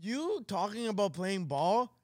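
A man's voice: high voice, sing-song, measured pace, dry recording, clean studio sound. A young man from Canada co-hosting a podcast, reacting eagerly and laughing often in casual chat. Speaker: podcast co-host.

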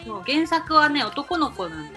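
A woman's voice: high voice, sing-song, normal speed, sharp tone, faint music behind. A woman co-hosting a podcast in casual, conversational chat. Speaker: podcast co-host; writer.

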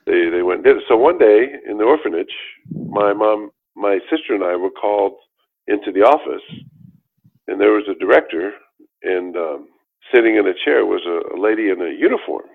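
A man's voice: fairly low pitch, expressive, moderate pace, creaky, slightly rough. An older man telling a long personal story in a casual interview, rambling with many restarts and fillers. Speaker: guest telling his life story. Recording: tinny phone-like line.

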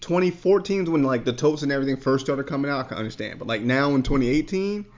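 A man hosting a casual podcast, talking in a relaxed, rambling way with lots of fillers. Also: medium pitch, quick pace. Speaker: podcast host. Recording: dull lo-fi sound.

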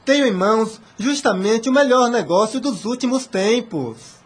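A hearty, scheming businessman friend in a radio melodrama. His voice is high and raspy, and his delivery is effusive, formal and theatrical. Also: expressive, moderate pace, exaggerated voice. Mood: jovial, hearty. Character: jovial business-minded old friend, a hearty gentleman. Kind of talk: theatrical radio soap opera acting.

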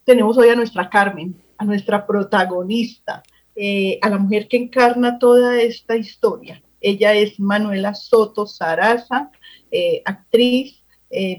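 Mujer colombiana entrevistadora, efusiva. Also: female voice, medium pitch, darkish tone, rough recording. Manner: effusive, complimentary. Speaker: co-host, professor. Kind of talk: radio interview, warm introductions.

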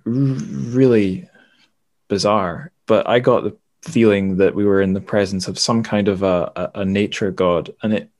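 A man hosting a podcast, discussing books casually in a halting, thinking-aloud way. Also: on the low side, darkish tone. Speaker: podcast host.

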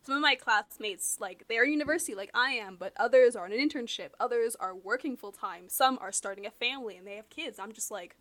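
A woman's voice: a little high, quick pace, few pauses, pushed and bright. A woman in her early twenties, a university student, chatting reflectively with many fillers and frequent light laughs. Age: 20s. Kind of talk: casual chat.

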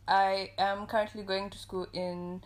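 A young woman from Botswana co-hosting a casual chat, hesitant and soft-spoken. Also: medium pitch, little pitch movement, a little slow, a crowd in the background. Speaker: co-host.

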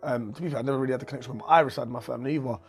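A man's voice: medium pitch, steady tone, racing, breathy edge, soft background music. A man hosting a casual chat, asking curious questions and stumbling over his words. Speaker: host.

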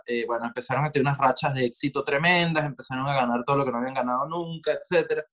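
A man's voice: fairly high pitch, a bit bright. A Venezuelan man answering interview questions in a reflective, digressive way, full of fillers and asides. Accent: Venezuelan Spanish. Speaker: interview guest, writer. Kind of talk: conversational interview.

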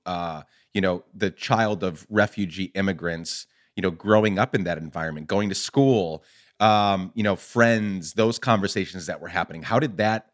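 A man interviewing a guest, asking curious, rambling questions full of fillers. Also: lowish voice. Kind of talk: conversational interview.

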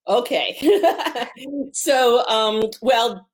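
A woman's voice: a little high, lively intonation, drawn-out words. A woman from Long Island, New York, a theater teacher, talking as a guest in a chatty way, laughing easily and saying um often. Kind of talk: casual interview talk.

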